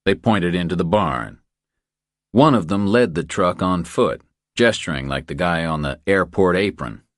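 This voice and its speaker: A man's voice: low-pitched, unhurried, no echo, clean studio sound. A man reading a thriller audiobook as scripted narration.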